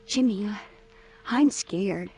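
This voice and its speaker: A nervous, clinging young woman in a vintage radio chiller. Her voice is a little husky, with an anxious, pleading edge. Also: mid-range voice, takes it slowly, darkish tone, poor audio. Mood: frightened. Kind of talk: old-time radio horror drama acting. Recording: vintage radio drama recording.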